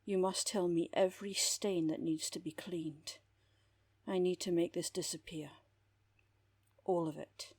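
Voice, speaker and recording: Lady doing a cool dry criminal therapist, low husky voice, measured, very dry close sound, audio drama. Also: fairly even intonation, a little mellow, no echo. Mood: firm, commanding. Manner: measured, dry, formal wording. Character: composed, wry female underworld therapist who makes people disappear. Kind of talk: audio roleplay drama acting.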